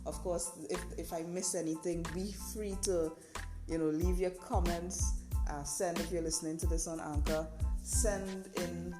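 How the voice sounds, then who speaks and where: Low-pitched Trinidadian woman hosting over music. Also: steady tone, unhurried. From Trinidad and Tobago. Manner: conversational, explanatory, frequent "right" and "you know" tags. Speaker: podcast host. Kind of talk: informal instructional podcast monologue. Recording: roomy echo, music behind the voice.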